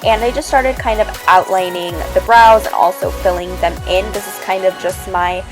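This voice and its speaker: A young woman in her twenties narrating a makeup tutorial in a relaxed, chatty way, slightly sniffly from a cold. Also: mid-range voice, moderate pace. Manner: relaxed, chatty step-by-step explaining. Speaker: beauty vlogger. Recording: voice-over with loud background music. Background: music.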